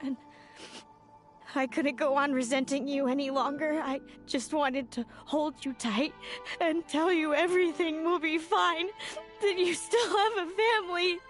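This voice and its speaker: A woman's voice: high voice, unhurried. A fae older sister from a fantasy game, bitter and tormented. She speaks like someone confessing an old wound, with theatrical weight. Music plays beneath her voice. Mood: tearful, tender. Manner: dramatic, wounded, confessional delivery. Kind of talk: video game voice acting. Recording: music under the voice. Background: background music.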